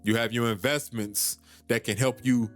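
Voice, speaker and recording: A man's voice: mid-range voice, steady tone, moderate pace. Man in his thirties, podcast host, casual slangy talk, repeats himself, faint music behind. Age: 30s. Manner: loose, slangy and repetitive, with frequent fillers and restarts.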